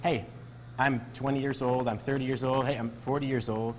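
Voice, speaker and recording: A man's voice: medium pitch, flat delivery, fairly quick, slight background noise. A man speaking as a doctor being interviewed, explaining things in a conversational way.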